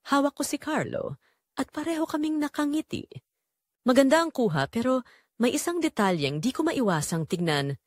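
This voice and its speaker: A woman's voice: on the low side, dry recording, studio quality. A woman narrating a dramatic first-person story in an emotive way.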